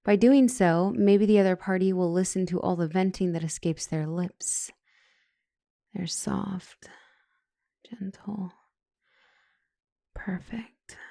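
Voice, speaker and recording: A woman acts out a brilliant young female couples therapist in a comedic audio roleplay. Her voice is mellow and closely, dryly recorded. Her professional composure slips easily into flustered rambling. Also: mid-range voice, steady tone, slow, gravelly, pauses often, no echo, studio quality. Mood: dreamy whisper.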